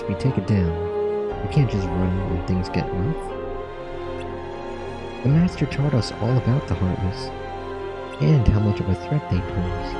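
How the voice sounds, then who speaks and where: A man voicing earnest young fantasy student warriors, creaky-voiced. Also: lowish voice. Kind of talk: read-through of game cutscene dialogue. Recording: music under the voice.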